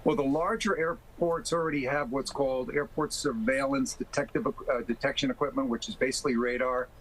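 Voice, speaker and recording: A man speaking as an expert guest in a TV interview, explaining things in a measured, careful way. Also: medium pitch, fairly even intonation, breathy edge, low-quality recording. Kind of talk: live TV interview.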